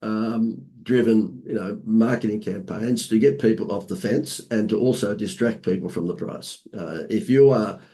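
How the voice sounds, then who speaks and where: An Australian man, hoarse from laryngitis, telling lively marketing anecdotes as a podcast guest with playful asides. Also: on the low side, normal speed, hardly any pauses. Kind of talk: casual storytelling interview.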